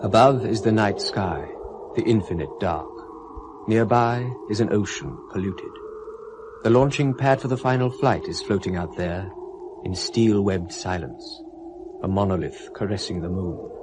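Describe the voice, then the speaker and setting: A man's voice: lowish voice, measured pace, close to an everyday voice. A man narrating an old radio drama in a grave, poetic manner, on a noisy recording.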